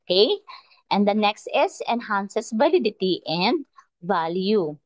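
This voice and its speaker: Slow-speaking Filipino woman teacher. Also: mid-range voice, very animated, dry recording, clean studio sound. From Philippines. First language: Tagalog. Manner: slow, deliberate, frequent "okay?" check-ins and "uh" pauses. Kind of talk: recorded lecture to students.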